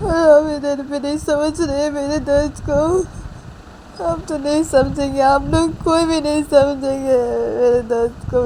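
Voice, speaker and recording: Over clear vehicle noise comes a high-pitched young mother played by a woman storyteller. She is distraught and tearful, pleading with her own mother in a plaintive, repetitive wail, as in a homemade story drama. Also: mellow. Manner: plaintive, repetitive wailing complaint. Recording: clearly noisy recording with vehicle sounds.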